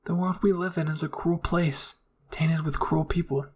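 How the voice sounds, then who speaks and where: A man's voice: fairly high pitch, steady tone, normal speed, breathy edge. Guy doing a stern young soldier girl, protective, anime story. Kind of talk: anime fan-fiction roleplay.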